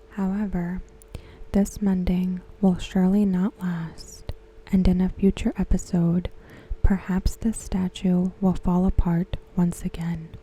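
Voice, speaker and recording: A woman giving ASMR commentary in a soft, breathy whisper, hushed and measured. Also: on the low side, low-quality recording. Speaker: ASMR host reviewing a TV episode. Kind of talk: ASMR commentary, softly spoken.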